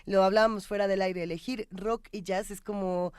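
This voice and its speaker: A woman's voice: mid-range voice, fairly even intonation, normal speed, darkish tone, clean tone, no echo, clean studio sound. A woman with a Mexico City accent hosting a magazine show, interviewing in a conversational way. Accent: Mexico City Spanish.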